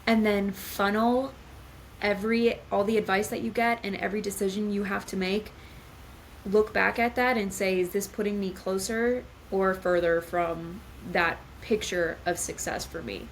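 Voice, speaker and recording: A woman's voice: medium pitch, steady tone, normal speed, slight background noise, rough recording. A woman guest author chatting casually on a podcast, using lots of fillers and laughing often.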